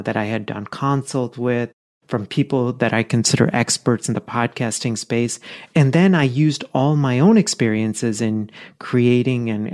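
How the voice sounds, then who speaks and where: A man's voice: medium pitch, a little mellow. Earnest man hosting a solo podcast. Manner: earnest, conversational, with frequent fillers and self-corrections. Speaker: podcast host. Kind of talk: solo podcast episode, explaining.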